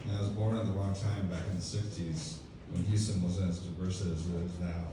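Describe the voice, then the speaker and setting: A man's voice: sits low, level tone, fairly quick, background noise. A man in his fifties from Texas doing a stand-up comedy set, telling dry, self-deprecating stories with lots of casual fillers. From Texas, USA. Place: comedy club stage. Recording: echoey club audio from a distant mic. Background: audience laughter.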